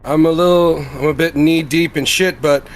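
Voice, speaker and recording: A man's voice: a little high, steady tone, normal speed. A man roleplaying in a tabletop game, with somewhat formal, wordy phrasing.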